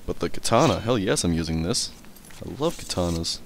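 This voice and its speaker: A man's voice: sits low, animated, a little fast, gravelly, slight background noise. A man doing casual let's play commentary, rambling, swearing casually and stumbling over hard words as he reads. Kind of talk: casual gameplay commentary and reading in-game text aloud.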